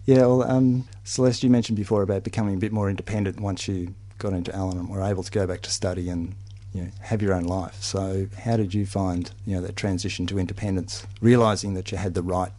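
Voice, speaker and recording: A man's voice: deep voice, steady tone, fast talker, hardly any pauses, mellow. A man hosting a conversational discussion, sharing his own thoughts and putting questions to guests. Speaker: host leading a discussion.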